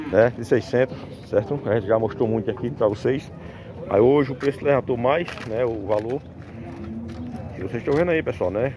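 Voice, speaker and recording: A man, a farmer vlogging a casual walkthrough at an outdoor cattle market, filling his talk with tag questions. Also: mid-range voice. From Northeast Brazil. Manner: chatty, fills with "né" and "pessoal". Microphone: handheld phone camera. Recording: outdoor noise of cattle and vehicles.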